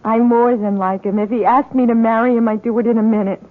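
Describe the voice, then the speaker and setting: An actress playing an earnest, emotional young American college student in a 1970s radio drama. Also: female voice, a little high, fairly even intonation, fast, narrow, tinny audio, natural voice. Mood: reassuring. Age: young adult. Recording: thin, band-limited 1970s radio recording.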